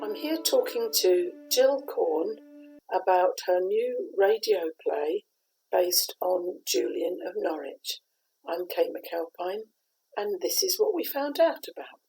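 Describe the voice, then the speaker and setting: A woman's voice: lowish voice, expressive, slow pace, mellow, faint music behind, poor audio. A woman hosting a podcast interview, asking brief, plain questions.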